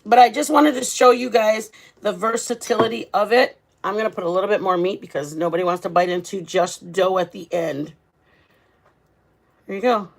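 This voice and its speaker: A woman's voice: mid-range voice, normal speed, creaky. A woman hosting a home cooking vlog, talking casually and informally to her viewers in her kitchen. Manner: chatty, informal, addresses viewers as guys. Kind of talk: casual cooking demonstration to camera.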